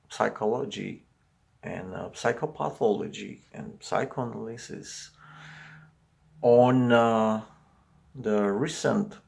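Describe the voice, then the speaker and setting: Slow, gravelly Romanian-accented man. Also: lowish voice. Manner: halting, searching for words. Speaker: video commentator. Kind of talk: solo video commentary.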